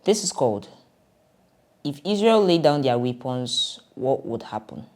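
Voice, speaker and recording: A man's voice: medium pitch, animated, unhurried, a little mellow. A man hosting a YouTube reaction channel, giving an upbeat, hyped introduction.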